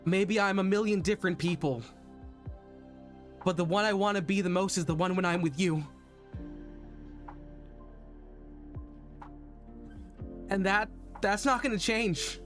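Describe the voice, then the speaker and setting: A man voicing a lovestruck undead patchwork monster in an earnest, halting, pleading theatrical monologue. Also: sits high, soft background music, close to an everyday voice. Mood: adoring. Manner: halting, earnest dramatic monologue with repeated phrases.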